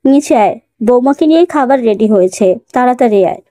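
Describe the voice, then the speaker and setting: A woman's voice: on the high side, lively intonation, brisk, mellow, natural voice. A woman playing an affectionate, bossy middle-aged mother-in-law in an audio story, with a motherly, commanding manner.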